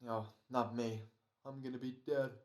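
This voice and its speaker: An actor playing a tired, wisecracking but soft-hearted radio actor in an old-time American radio comedy. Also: mid-range voice, steady tone, normal speed, natural voice. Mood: weary, grumbling. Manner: weary, sardonic, slangy.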